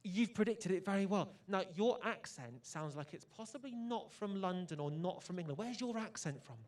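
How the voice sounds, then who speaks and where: A man's voice: high-pitched, animated, normal speed, darkish tone. A young man presenting live from an outdoor fan zone, upbeat and joking as he chats with fans at their tables. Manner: upbeat, hyped, jokey with guests. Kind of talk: energetic outdoor broadcast chat.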